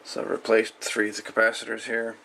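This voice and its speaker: A man giving a casual, unhurried, folksy hands-on repair narration, thinking aloud as he goes. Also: fairly low pitch, monotone, vocal fry, pushed and bright, slight background noise. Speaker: hobbyist radio repairer presenting a video.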